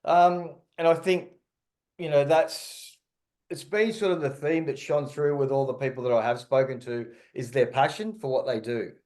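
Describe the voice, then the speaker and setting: Podcast host, middle-aged male, mid-range voice, relaxed conversational interviewing. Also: mid-range voice, normal speed.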